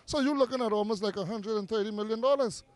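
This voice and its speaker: A man's voice: high voice, fast talker. A man from Grand Bahama with a Bahamian accent, answering interview questions as a community activist, earnest and persuasive, repeating words for emphasis, recorded outdoors in a park.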